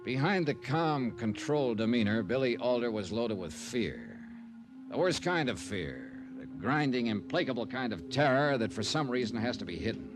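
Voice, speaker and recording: A man's voice: medium pitch, big pitch swings, normal speed, natural voice. A man playing a wry, easygoing insurance investigator who narrates his own case in an old-time radio detective drama. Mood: wry narration. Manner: wry, dry wisecracks. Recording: vintage radio recording with faint music.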